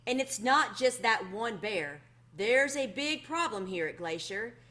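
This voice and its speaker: A woman's voice: on the high side, animated, a little slow, fairly bright tone. A woman voicing a grave, worried young man in a storybook, speaking with urgent warning.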